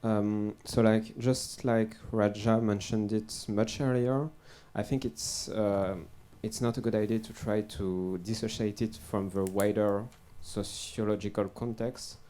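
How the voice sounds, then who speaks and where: A man's voice: fairly low pitch, fairly even intonation, unhurried, hardly any pauses, mellow. A young man on a robotics panel, answering hesitantly with many fillers and restarts.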